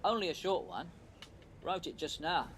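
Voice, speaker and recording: An actor playing a shy, apologetic young romantic in an audio play, with a hesitant, awkward way of talking. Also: male voice, fairly high pitch, expressive, moderate pace, a bit bright, a little hiss or hum. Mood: bashful.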